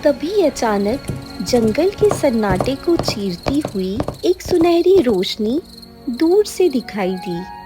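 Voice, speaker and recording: Female narrator for scripted dramatic cartoon tales, slow delivery, light music underneath. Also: sits high.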